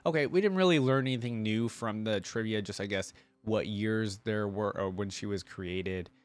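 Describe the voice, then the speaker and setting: A man hosting a reaction video, reading aloud and commenting informally as he thinks out loud for viewers. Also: fairly low pitch, normal speed, no echo.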